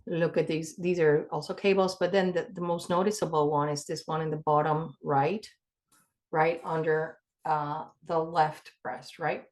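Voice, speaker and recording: A woman's voice: low voice, flat delivery, normal speed, a little mellow. A woman co-presenting in an informal, explanatory way, direct and practical, checking for agreement as she talks.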